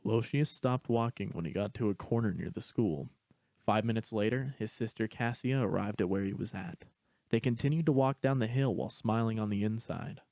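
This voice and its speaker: Low, mellow male story narrator. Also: brisk, no echo.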